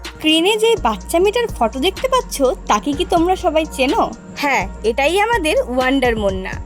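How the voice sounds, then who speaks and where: A woman's voice: sits high, sing-song, quick pace. A woman hosting and narrating a scripted online video, speaking directly to her viewers. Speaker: channel host and narrator. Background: background music.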